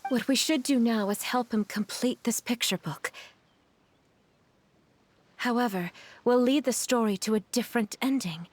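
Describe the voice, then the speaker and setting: Woman playing a higher-voiced, earnest game mother. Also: moderate pace, pauses often, lightly acted. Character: gentle, resolute, sorrowful mother of an ailing boy. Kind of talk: video game voice acting.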